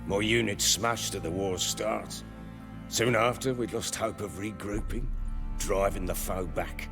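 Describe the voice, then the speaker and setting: An actor playing a gruff, middle-aged self-made baron and soldier in a video game, gravelly voice and blunt, earthy, old-fashioned speech. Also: male voice, sits low. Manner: blunt, earthy, archaic turns of phrase. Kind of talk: dramatic video game acting.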